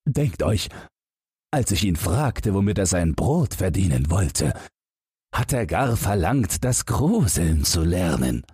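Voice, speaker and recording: A man's voice: low voice, big pitch swings, slow, darkish tone, slightly rough, no echo, clean studio sound, exaggerated voice. A man voicing a stern, worried father, admonishing and resigned, in a fairy-tale audiobook. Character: stern, worried father of two grown sons.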